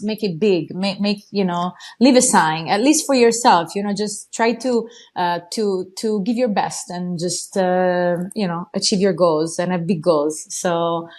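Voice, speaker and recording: Enthusiastic Italian-accented woman. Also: on the low side. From Italy. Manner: enthusiastic, many fillers and restarts. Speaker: guest, horse trainer. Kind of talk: casual interview talk.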